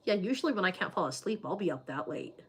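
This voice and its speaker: A woman chatting on a casual home livestream, reading viewer comments aloud as she goes. Her voice sits low, and she talks loosely, with lots of "like" and "um". She sounds as if she is speaking into a webcam setup. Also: big pitch swings, fast, slight background noise. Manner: chatty, loose, many fillers like "like" and "um".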